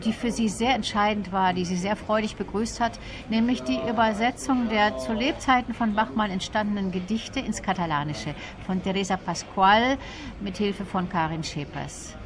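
Thoughtful woman explaining over crowd noise. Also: medium pitch. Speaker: interview guest, literary expert and translator. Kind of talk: explanatory literary interview talk.

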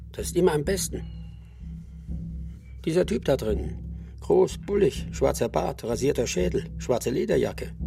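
An actor playing a seasoned older ex-fighter in a radio drama, terse and deliberate. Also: male voice, medium pitch, vocal fry. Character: seasoned older male ex-brawler, calm, tough, instructing. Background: music.

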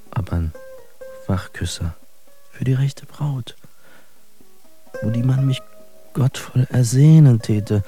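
An actor playing a brooding young immigrant man who muses poetically in street slang, in a radio drama monologue with halting, deliberate delivery. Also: medium pitch, vocal fry, dark tone. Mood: wistful.

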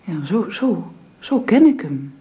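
A woman's voice: mid-range voice, big pitch swings, slow, mellow, highs cut off. An actress playing a hesitant, earnest and deferential young woman in a Dutch radio drama. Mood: troubled, hesitant.

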